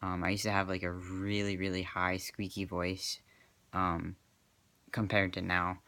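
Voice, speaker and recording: Soft-spoken young man, hesitant and dry. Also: deep voice, monotone, unhurried, no room sound. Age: 20s. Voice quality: slightly crackly. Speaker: vlogger. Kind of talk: casual personal vlog.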